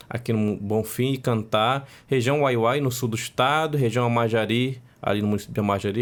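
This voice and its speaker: A man who grew up speaking Macuxi first, answering interview questions in a measured way and tagging lists with "né?". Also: fairly low pitch. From Roraima, Brazil. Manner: measured, listing facts with frequent "né?" tags.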